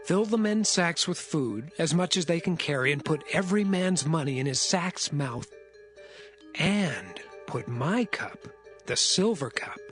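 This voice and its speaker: An actor playing a solemn, commanding Egyptian governor with a tender side in a dramatised scripture reading. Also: male voice, on the high side, expressive, unhurried, a bit bright, soft background music. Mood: stern commanding.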